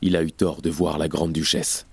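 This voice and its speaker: An actor playing a stern, doubting revolutionary in a radio play, sober and severe. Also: male voice, very deep voice, flat delivery, normal speed, creaky, slightly rough, no room sound, heavily acted. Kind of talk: French radio play acting.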